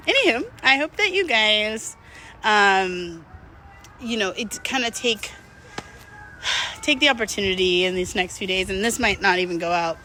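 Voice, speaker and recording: A woman's voice: mid-range voice, bright, forceful tone, slight background noise, poor audio. A middle-aged woman talking casually and unscripted to her viewers, candid and slangy, rambling and drifting mid-thought. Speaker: vlog host.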